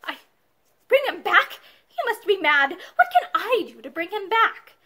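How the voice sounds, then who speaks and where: A woman's voice: very high-pitched, sing-song, brisk, fairly bright tone, full character voice. A woman voicing a proud, wounded young wife who speaks emotionally and with defiance, in a storybook reading. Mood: anguished.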